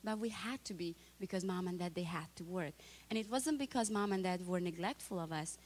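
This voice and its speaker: A woman's voice: fairly low pitch, a little fast, a little mellow. Romanian-accented woman giving a church testimony. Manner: chatty, checks in with the audience. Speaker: guest speaker. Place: church sanctuary.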